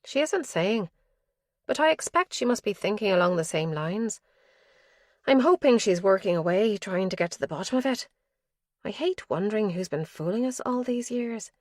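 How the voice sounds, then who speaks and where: A woman voicing a brisk, wry young female detective in an audiobook, lifting her voice and sounding lively. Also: medium pitch, no echo, clean studio sound, voice pushed up, close to an everyday voice.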